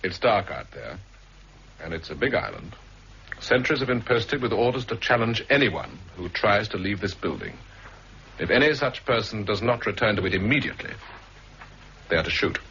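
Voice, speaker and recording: A man's voice: lowish voice, very animated, normal speed, vocal fry, bright, forceful tone, full character voice. A man with a British accent playing a dutiful, coolly defiant army captain in a 1960s radio drama, firm, terse and formally polite. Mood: coldly resolute. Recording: muffled, hissy 1960s radio recording.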